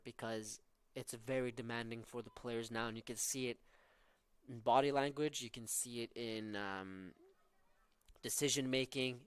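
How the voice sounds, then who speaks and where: A man's voice: mid-range voice, fairly bright tone, clear, resonant tone, no echo. A young man from southern Norway with a Norwegian accent, calling live college soccer with tactical analysis and frequent fillers. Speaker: play-by-play commentator.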